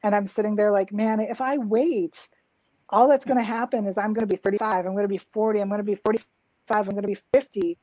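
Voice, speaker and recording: A woman's voice: mid-range voice, fairly even intonation, fast, mellow, dry recording. A woman in her thirties chats thoughtfully as an interview guest, her speech full of little fillers and false starts. The audio is muffled and lo-fi, as if over a video call. The sound is close and dry, with no room around it. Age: 30s. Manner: reflective, frequent fillers and restarts. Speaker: drag king performer, guest. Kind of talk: casual interview chat.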